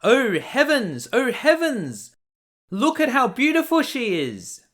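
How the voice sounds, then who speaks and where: A friendly little fairy-tale dwarf, played by a man. The voice is pitched up high, kindly and full of wonder. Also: huge pitch swings, slow, a bit bright, heavily acted. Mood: amazed, delighted. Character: friendly, excitable little male storybook dwarf.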